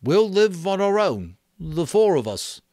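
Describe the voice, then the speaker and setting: Male reader as anxious storybook schoolboy, insistent, uneducated phrasing, raised pitch. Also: very animated, unhurried, darkish tone, no echo, studio quality, full character voice. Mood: eager insistence. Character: Piggy, anxious, stubborn, pleading schoolboy.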